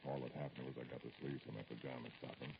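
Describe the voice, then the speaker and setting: Brooding husband, extremely deep and gravelly. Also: male voice, flat delivery. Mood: bitter, haunted. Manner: halting, brooding, confiding monologue. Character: brooding, irritable, anxious married man haunted by a threat. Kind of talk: mid-century American radio horror drama acting. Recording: muffled, very noisy old radio recording.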